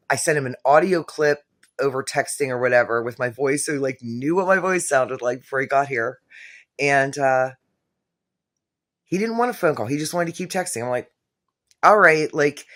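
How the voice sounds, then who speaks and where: A middle-aged woman with a very low voice tells a personal story to camera in a casual, rambling way, full of fillers and self-corrections. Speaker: vlogger telling her own story.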